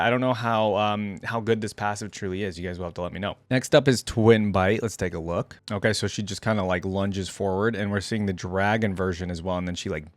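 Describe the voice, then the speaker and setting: A man giving casual, conversational reaction commentary as a video host, thinking out loud between bits he reads aloud. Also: mid-range voice, no echo.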